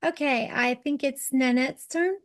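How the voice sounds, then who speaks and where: A woman's voice: high-pitched, measured pace. A woman hosting an online workshop, moderating introductions in a friendly, encouraging way. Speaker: workshop host.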